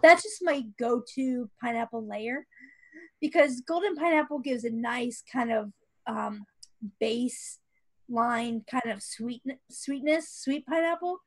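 A woman's voice: fairly high pitch, slow, rough recording. A woman panelist on a video call, presenting a recipe in casual, explanatory talk. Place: bedroom at home.

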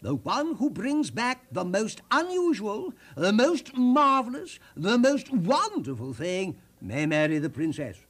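Guy doing a pompous little storybook king, high voice, big swoopy declaiming, very commanding. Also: very animated, a little slow, few pauses, a bit bright, husky, exaggerated voice. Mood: commanding, grand. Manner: grand, emphatic, declamatory. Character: fat little king, commanding and grandly proclaiming.

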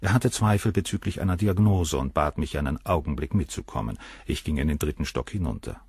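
A man's voice: a bass voice, brisk, a little mellow, breathy edge, no echo, studio quality, full character voice. An actor playing a cold, arrogant male surgeon in a German crime radio play, precise and detached in manner. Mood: detached, self-assured. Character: cold, arrogant professor of surgery, unrepentant philanderer.

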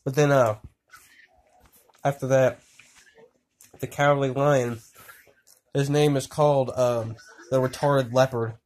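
A man telling an improvised story to friends in a casual, crude and excitable way, repeating himself. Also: mid-range voice, slow, long pauses. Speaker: amateur storyteller. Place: indoors at home. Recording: noisy amateur handheld recording, clicks.

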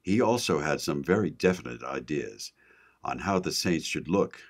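A man giving measured, scripted documentary narration. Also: a bass voice, lively intonation.

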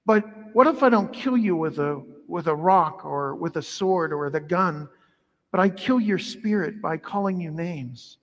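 Man, middle-aged priest, high voice, animated homily, large church, long reverb. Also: unhurried. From Ireland heritage. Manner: animated, conversational preaching with wide arm gestures. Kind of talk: sermon. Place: large church sanctuary at the altar.

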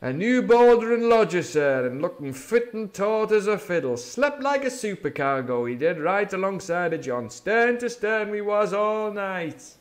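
A man voicing a jovial, sly old one-legged pirate cook with loud, drawn-out, hearty speech. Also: high-pitched, big pitch swings, pitched above the performer's own voice. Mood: sly cheerful.

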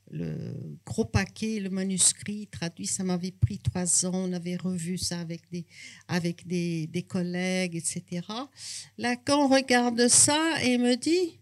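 Older author, slow and reflective, handheld mic in a bookshop. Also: female voice, mid-range voice. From Brazil. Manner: unhurried, reflective, hesitant restarts. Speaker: author and psychoanalyst. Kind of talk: bookshop talk. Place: bookshop, indoors.

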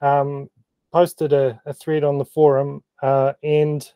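A man with a broad New Zealand accent talks through his editing experiments on a video call. He speaks slowly in a fairly high, mellow voice, pausing for ums and small laughs. The sound is dry and close. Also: flat delivery, clean tone, dry recording. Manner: halting, many ums, self-deprecating laughs. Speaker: meeting participant presenting photo-editing experiments. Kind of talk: casual technical explanation on a video call.

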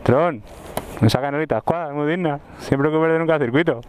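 A man's voice: a little high, brisk, darkish tone, a little hiss or hum. A young man from the Madrid area, a visiting motovlogger, joking and bantering with friends in a parking lot. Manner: joking, slangy, showman-like banter. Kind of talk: casual chat among friends. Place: outdoor gravel parking lot by the water.

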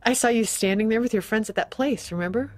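An actress voicing a rattled wife in a radio drama who keeps circling back over her words. Her voice sits a little high and she speaks in a fretful, rambling way. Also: quick pace, lightly acted. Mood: distraught. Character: anxious married schoolteacher woman, suddenly unsure of her love. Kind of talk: scripted radio drama acting.

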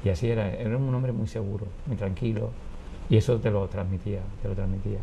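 Low, dark-voiced man telling stories. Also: fast, creaky, a little hiss or hum. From Cuba. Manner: animated, gestures with hands, many restarts and acted quotes. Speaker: interview guest. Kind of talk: relaxed conversational storytelling. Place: wood-panelled studio set with bookshelves. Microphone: lapel mic.

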